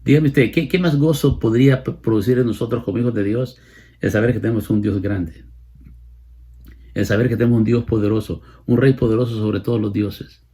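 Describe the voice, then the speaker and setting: Male preacher, late 50s, Salvadoran accent, medium pitch, slightly rough husky tone, expository sermon delivery with emphatic repetition. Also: steady tone, brisk, mellow. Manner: repeats phrases for emphasis.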